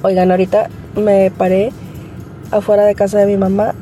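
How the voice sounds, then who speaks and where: A woman with a very mellow, dark voice. She speaks with little pitch movement, as in a casual voice message from a listener. There is faint music behind her. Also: flat delivery, normal speed, rough recording. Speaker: podcast listener leaving a voice message.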